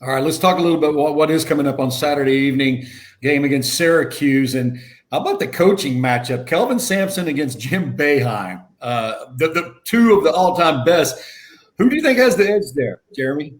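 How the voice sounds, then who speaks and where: A man's voice: a little high, expressive, brisk. A man casually interviewing as a sports show host, informal and upbeat.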